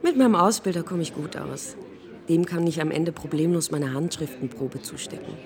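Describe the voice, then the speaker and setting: An actress playing an ambitious, cynical young intern in a radio drama, blunt, impatient and matter-of-fact. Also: female voice, low-pitched, lively intonation, normal speed, darkish tone. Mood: casual, confident.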